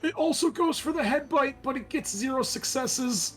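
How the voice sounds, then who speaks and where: A man's voice: very high voice, normal speed, a bit bright. A man working as game master, narrating a horror roleplaying game, moving between dramatic description and casual rules talk.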